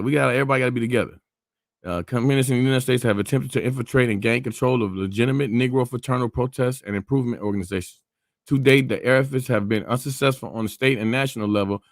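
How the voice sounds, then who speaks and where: A man in a casual discussion who gets animated and laughs between points, and also reads documents aloud. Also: medium pitch, no room sound, clean studio sound. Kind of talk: casual conversation and reading aloud.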